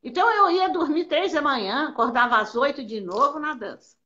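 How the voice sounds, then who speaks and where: An older Brazilian woman, a dance teacher, telling stories of her career in an animated way and repeating words for emphasis. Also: sits high, brisk, muffled recording. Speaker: belly dance teacher, interviewee. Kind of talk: casual interview answers.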